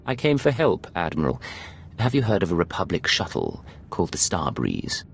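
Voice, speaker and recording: An actor playing a cool, defiant intelligence agent in a video game, terse and confrontational. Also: male voice, deep voice, wildly swooping pitch, normal speed, vocal fry, slight background noise, heavily acted. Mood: urgent. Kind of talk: dramatic video game voice acting.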